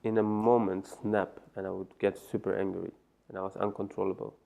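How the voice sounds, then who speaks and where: Deep gravelly man. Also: flat delivery, brisk, dark tone. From Afghanistan. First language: Farsi. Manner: hesitant, many fillers and restarts. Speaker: interview guest. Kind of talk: personal story interview. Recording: muffled lo-fi sound.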